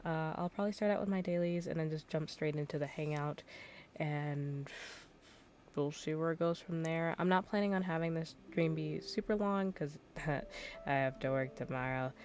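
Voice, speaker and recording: A woman's voice: low-pitched, fairly even intonation, normal speed, darkish tone. A woman livestreaming a game in casual, rambling chat, her voice a little worn out and tired.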